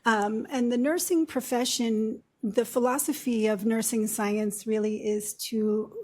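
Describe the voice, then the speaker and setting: Woman in her 50s giving a talk, low voice, kinda husky and mellow, chatty with little stories. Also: steady tone, a little slow. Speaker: guest speaker, nurse practitioner. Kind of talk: seminar talk. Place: lecture room.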